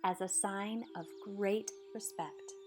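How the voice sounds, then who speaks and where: A woman's voice: mid-range voice, steady tone, slow. Woman reading a storybook over music. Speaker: storyteller reading a picture book aloud. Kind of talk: expressive scripted storybook narration.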